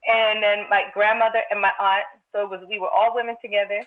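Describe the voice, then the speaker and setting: A woman from Brooklyn talks as a podcast guest, thinking out loud in a reflective way with many restarts. Her mid-pitched voice comes through a thin, phone-like remote call line. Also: flat delivery, fast. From Brooklyn, New York, United States. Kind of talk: casual podcast conversation.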